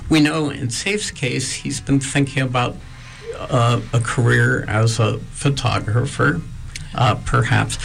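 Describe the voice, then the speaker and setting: A man hosting an interview, asking students questions in a halting way with many pauses. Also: mid-range voice, gravelly, a bit bright, a little hiss or hum. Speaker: interviewer host.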